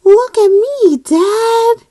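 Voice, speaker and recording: Woman voicing an exuberant, high little boy frog. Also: drawn-out words, dark tone, no room sound, clean studio sound, heavily acted. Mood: excited. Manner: exuberant, childlike exclaiming. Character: eager little boy tadpole growing into young frog.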